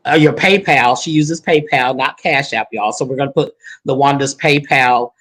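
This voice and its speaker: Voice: mid-range voice, normal speed, fairly bright tone. A talk show host in their fifties chatting casually with a guest, sassy and folksy, repeating points for emphasis. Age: 50s.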